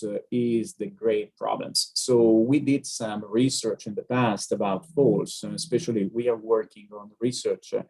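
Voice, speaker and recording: A man with an Italian accent explains medical topics over a video call, often stopping on hesitant fillers. His voice is low and gravelly with a dark, mellow tone. He speaks as a neurologist in an educational webinar. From Italy.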